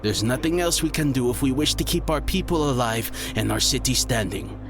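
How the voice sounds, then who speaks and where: A man playing a resolute ninja master in a fantasy audio story, speaking with earnest, measured urgency. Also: mid-range voice, animated, music in the background, lightly acted. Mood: earnest, determined. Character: earnest, resolute male ninja master defending his city.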